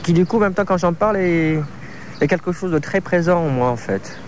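A man in his thirties, a choreographer from France, answering interview questions in a halting way, searching for words with many fillers. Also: high-pitched, lively intonation, a little mellow, slight background noise. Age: 30s.

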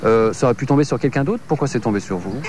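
A man's voice: mid-range voice, rapid-fire, background noise. A male interviewer asking long, hesitant, rambling questions.

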